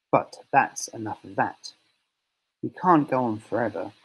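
Male storybook reader, expressive and deliberate, animated pitch, slightly raspy. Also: rough recording. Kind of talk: children's story reading.

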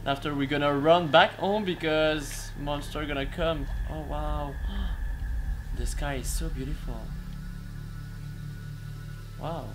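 A man's voice: on the high side, slow, pauses often. Young male gaming host, non-native English, jumpy and hesitant, headset mic, music under the voice. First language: not English. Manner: excitable, hesitant, frequent exclamations. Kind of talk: casual gameplay commentary.

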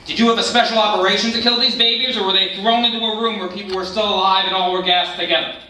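A man's voice: high voice, fairly even intonation, fast, sharp tone. A young man playing a stern, accusatory war-crimes interrogator in a staged reading. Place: meeting room, staged reading at a table. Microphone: far camera microphone. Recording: very echoey room, far microphone, hiss.

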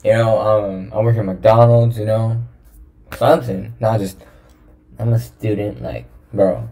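A man's voice: on the low side, flat delivery, a little slow, dark tone, a little hiss or hum. A young man hosting a reaction video from a small room, talking casually with slang and jokes. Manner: laid-back, joking, slangy.

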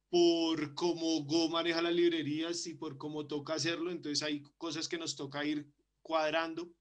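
A man's voice: on the high side, fairly bright tone. A man presenting his work at a conference and answering questions over a video call, often hesitating and repeating words. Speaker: conference speaker. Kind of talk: conference talk and Q&A.

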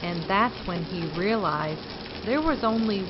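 A woman's voice: medium pitch, expressive, a little slow, lo-fi sound, background noise. A middle-aged or older woman hosting a children's story time, speaking expressively to children and often asking them questions. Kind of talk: storybook reading and chatting to children.

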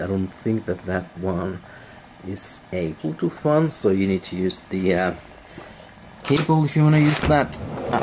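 A man giving a casual, unscripted hotel room walk-through as a travel blogger, halting and repeating words, recorded on a handheld camera. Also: fairly low pitch, noisy background. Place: indoors, moving around a hotel room. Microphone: handheld camera, operator behind it.

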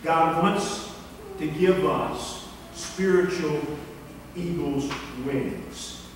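Slow-preaching man in an echoey church. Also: a little high, a little hiss or hum. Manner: slow, earnest preaching with emphatic rises. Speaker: pastor. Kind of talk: sermon. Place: church sanctuary. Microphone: distant camera mic. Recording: echoey church hall from far off.

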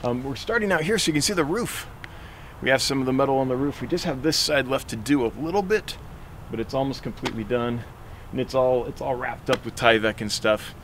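A man's voice: mid-range voice, fairly quick. A man in his forties, a fish store owner, chatting casually as he walks through his unfinished building. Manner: chatty, relaxed, explaining as he walks. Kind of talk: casual walkthrough vlog. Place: outdoors and inside an unfinished open building. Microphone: wireless mic on a handheld camera shoot. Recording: noticeable outdoor background noise.

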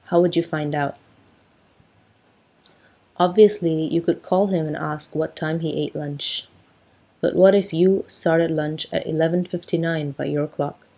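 A young woman reading a technical textbook aloud as a sleep aid, in a calm, even, measured way, through a wired earphone mic in a small bedroom. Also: low-pitched, gravelly, slightly rough. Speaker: reader. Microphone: wired earphone mic at a laptop.

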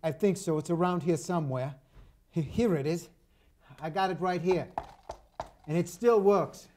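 A man's voice: on the high side, moderate pace, dark tone, lightly acted. A man with an American accent playing a desperate, sheepish detective in an improvised old-time radio play. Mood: sheepish, pleading. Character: desperate hard-up male detective, sheepish and devoted.

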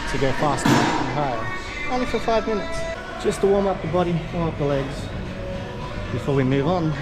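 A man, casually narrating his gym workout in a self-deprecating, upbeat way on an action camera. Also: mid-range voice. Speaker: vlogger. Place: gym, indoors, and outdoors by a car. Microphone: handheld action camera. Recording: music playing behind. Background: background music.

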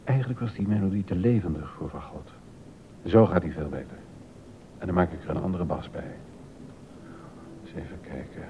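An actor playing an absorbed middle-aged male composer in a drama, musing haltingly and correcting himself as he thinks aloud. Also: close to an everyday voice. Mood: pensive, working. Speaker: actor in a docudrama. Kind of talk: Dutch radio drama acting, thinking aloud. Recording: dull lo-fi sound with rumbling noise.

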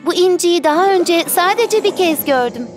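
A woman voicing a gentle, wise young mermaid queen in a children's cartoon, speaking earnestly like a storyteller. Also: extremely high pitch, lively intonation, moderate pace. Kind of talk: animated children's cartoon dubbing. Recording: music under the voice.

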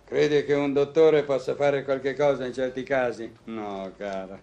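A man's voice: medium pitch, fairly even intonation, unhurried, a little mellow, natural voice. A man acting a jovial, philosophical middle-aged doctor who banters in a wry, florid way, in a vintage radio drama.

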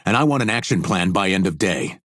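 A man's voice: low voice, lively intonation, brisk, fairly bright tone, no echo, studio quality. An American man voicing a tough, wisecracking assassin in a video game, with a streetwise, slangy, sardonic delivery. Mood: sardonic, cool. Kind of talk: video game voice lines, combat barks.

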